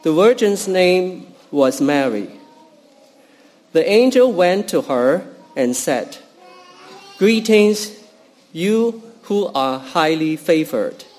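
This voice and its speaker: A man whose first language is Cantonese, reading a Bible passage aloud in church, halting and careful, with fillers and restarts. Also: high-pitched, lively intonation, a little mellow. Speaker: scripture reader.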